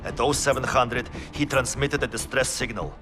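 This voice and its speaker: An actor playing a grave Russian military sergeant with a Russian accent, giving a measured, formal mission briefing in a video game. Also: male voice, mid-range voice, over music, close to an everyday voice. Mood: serious, briefing. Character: grave Russian military sergeant, adult man, dutiful commander.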